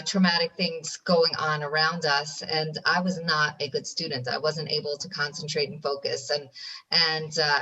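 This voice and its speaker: An American woman from New York speaks in a bright, forceful voice set a little low. She tells her story earnestly as a podcast guest and often restarts her phrases. She sits a bit back from the mic. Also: distant mic, low-quality recording. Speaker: guest, ADHD educator. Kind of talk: interview on a podcast.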